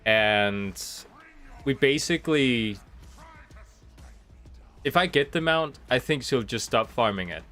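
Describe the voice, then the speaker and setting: A man's voice: mid-range voice, animated, slow, long pauses, fairly bright tone, faint music behind. A man with a slight cold and a nasal sound, chatting casually and thinking aloud while streaming a game from home. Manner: rambling, thinking aloud with restarts. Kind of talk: casual live-stream commentary. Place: home room, rain outside.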